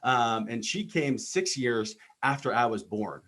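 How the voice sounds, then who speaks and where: Podcast host, man in his 30s, from Texas, earnest and rambling, mid-pitched voice, lots of ums. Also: animated, a little slow, fairly bright tone. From Texas, United States. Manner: earnest, lots of filler ums and repeated words. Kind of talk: motivational personal monologue.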